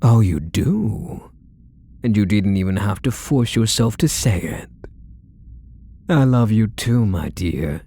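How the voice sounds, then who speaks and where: A possessive yandere husband, acted by a man, murmurs in an intimate ASMR roleplay with doting, quietly menacing condescension. His voice is mellow and creaky, with pitch that rises and dips widely. He coaxes softly and lets his endearments linger. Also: animated, slow, full character voice. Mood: tender, possessive. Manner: soft, coaxing, condescending endearments, lingering phrases.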